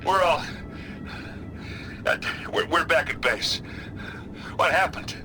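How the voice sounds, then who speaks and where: A man playing a loyal warrior friend in a video game, earnest, openly emotional and halting. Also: a little high. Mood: astonished relief. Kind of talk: cinematic video game acting. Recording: music behind the voice.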